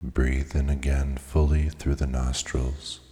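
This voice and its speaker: Very deep, slow male meditation narrator. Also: flat delivery, dark tone. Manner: measured, soothing, hypnotic delivery with deliberate pauses. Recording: long cavernous reverb on the voice.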